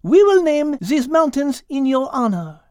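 A woman's voice: high voice, big pitch swings, measured pace, a little mellow, dry recording, clean studio sound, pitched above the performer's own voice. A woman voicing a sweet, gracious female cat, speaking in a gushing, sing-song way. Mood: joyful.

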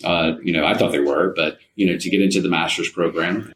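A man's voice: sits low, fairly even intonation, a little fast, a bit bright. A middle-aged man, telling his own story conversationally and modestly as an interview guest. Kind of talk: casual personal storytelling in an interview.

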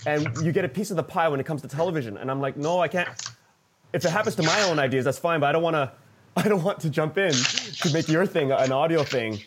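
Male panelist, slightly high voice, halting informal discussion, faint noise on the track. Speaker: podcast creator on a panel. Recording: noisy voice track with clicks.

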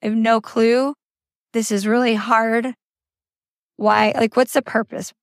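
A young woman hosting a faith-based motivational podcast, her voice hoarse and scratchy from a cold, speaking in an earnest, repetitive, encouraging way. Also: on the high side, level tone, slow pace, dry recording, clean studio sound. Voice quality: raspy, scratchy. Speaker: podcast host. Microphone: informal recording setup.

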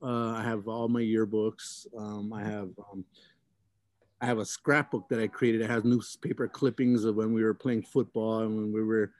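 A man's voice: fairly low pitch, flat delivery, moderate pace, a little mellow, no room sound. A middle-aged man from Hawaii, telling his life story in an oral history interview, rambling and reflective with many fillers and restarts.

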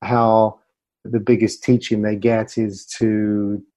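Low-voiced man, mellow and even. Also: takes it slowly, no room sound. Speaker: communication coach and former broadcaster. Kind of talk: interview conversation, explaining with anecdotes.

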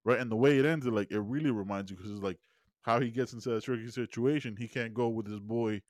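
A man's voice: mid-range voice, fast, dry recording, studio quality. A man co-hosting a casual show review, telling stories animatedly and often asking "you know what I mean". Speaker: podcast co-host.

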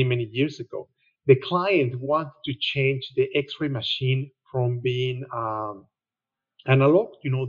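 Middle-aged Mexican man, architect guest, relaxed rambling storyteller, muffled remote recording. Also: mid-range voice. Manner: relaxed storytelling with fillers and restarts. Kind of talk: conversational interview answer.

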